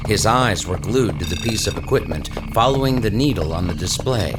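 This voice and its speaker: A man's voice: fairly low pitch, lively intonation, moderate pace, close to an everyday voice. A man reading dramatic scripted narration for an audio story, with music behind him.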